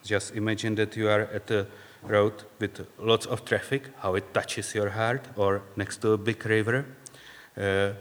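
A man with a Czech accent, speaking English as a second language, gives a reflective personal faith talk in halting, searching phrases. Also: on the low side, little pitch movement. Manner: halting, searching for words, non-native phrasing. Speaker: speaker sharing faith story. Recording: long reverb, hall-like.

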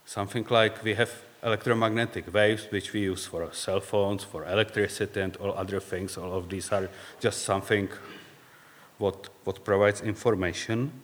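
A man with a Czech accent, speaking English as a second language, gives a reflective personal faith talk in halting, searching phrases. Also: fairly low pitch, steady tone. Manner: halting, searching for words, non-native phrasing. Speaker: speaker sharing faith story. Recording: long reverb, hall-like.

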